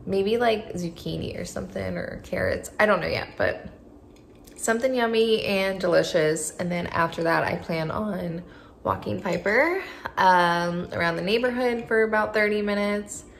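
A woman's voice: fairly low pitch, creaky, fairly bright tone, reverberant, a little hiss or hum. A woman in her thirties chatting casually to camera in her home vlog, with many "like"s and "um"s. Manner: chatty, filler-heavy, lots of "like" and "um". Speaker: vlogger. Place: kitchen and living room of an apartment.

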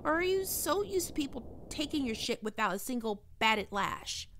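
A woman's voice: high-pitched, big pitch swings, normal speed, a bit bright, a little hiss or hum. A woman playing a skittish, defensive young catgirl roommate in an ASMR roleplay, stammering and prickly to hide her fear. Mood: prickly, flustered.

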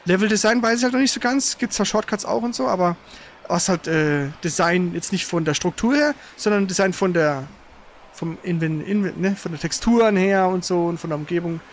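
High-pitched man commenting on a game. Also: a little fast, slight background noise. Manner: colloquial, exclaims at the game. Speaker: gamer, let's play host. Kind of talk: casual gaming commentary while playing.

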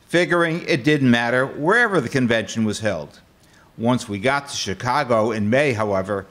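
A man's voice: medium pitch, fairly bright tone, natural voice. A man in his sixties with an American accent reading the part of a shrewd 19th-century political organizer. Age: 60s. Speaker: amateur radio play actor. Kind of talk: scripted first-person historical monologue. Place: lecture hall stage before a seated audience. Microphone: podium microphone.

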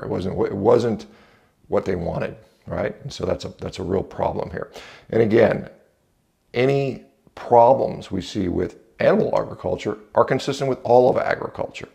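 A man with an American accent speaks in a deep voice full of heavy creak. He gives unscripted opinions bluntly and dismissively, talking conversationally as if straight to a viewer. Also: dark tone. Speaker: video reviewer and commentator. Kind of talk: unscripted opinion commentary to camera. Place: indoors, home entrance hall. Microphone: small clip-on lapel mic.

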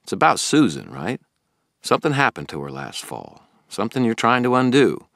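A man doing an eager young man's voice, pitched well above his own low register and bouncing lively. He talks insistently and earnestly, like a pushy friend who won't drop the subject, in a dry, close storybook reading. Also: normal speed, vocal fry, dry recording. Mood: concerned. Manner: insistent, pleading.

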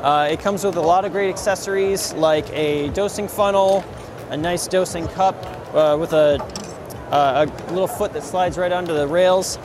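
A man's voice: high voice, steady tone, normal speed, background noise. Upbeat young man at a trade show. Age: young adult. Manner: upbeat, casual, frequent fillers. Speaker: coffee equipment show host. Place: large convention hall, indoors.

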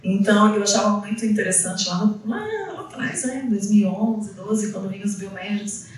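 A woman's voice: mid-range voice, fairly even intonation, brisk, a little hiss or hum. A woman from the São giving an informal, storytelling talk as an educator, acting out people's reactions as she goes. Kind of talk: informal spoken storytelling to an audience. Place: indoor event room, on a small stage with seated audience. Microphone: handheld microphone, recorded by a distant camera. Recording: echoey hall, picked up from far off.

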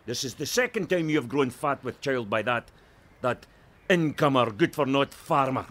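A man's voice: medium pitch, expressive, normal speed, fairly bright tone, lightly acted. A man plays a wrathful Scottish father in a radio drama. He is harsh and preaching, with a pious severity. His mid-range voice moves animatedly up and down. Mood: stern, angry.

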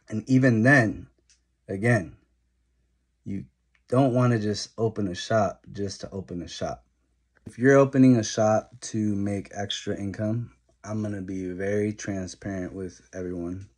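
A man's voice: on the low side, darkish tone. A young man who owns a barbershop, giving straightforward advice to camera in a casual talking-head vlog. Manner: earnest, straightforward advice, list-like delivery. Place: small indoor room at home. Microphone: phone camera held close.

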